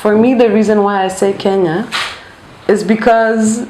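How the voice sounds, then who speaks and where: A woman from Denmark in a casual podcast conversation as a guest, animated and insistent, often checking for agreement. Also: medium pitch, normal speed. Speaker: interview guest, musician. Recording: echoey room with faint background noise.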